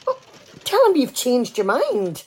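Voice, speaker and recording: A woman's voice: sits high, huge pitch swings, measured pace, a little mellow, slightly rough, full character voice. An amateur actress, a woman, playing a practical, firm wife in a conversational domestic radio play.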